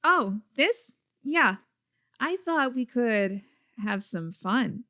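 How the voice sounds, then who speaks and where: A woman voicing a quirky, excitable teenage girl in an amateur webcomic dub, dramatic and teasing. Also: a little high, very slow, exaggerated voice.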